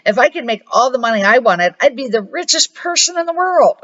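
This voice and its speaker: A woman's voice: high voice, expressive, fairly quick, fairly bright tone, muffled recording, pitched above the performer's own voice. An older woman reading the part of a curious, eager young grandson in a children's storybook. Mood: excited. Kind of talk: storybook read-aloud.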